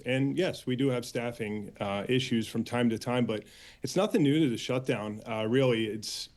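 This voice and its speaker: An American man answering as an interview guest and union spokesman, earnest and halting, with frequent filler pauses. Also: mid-range voice. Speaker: air traffic controllers' union official, interview guest.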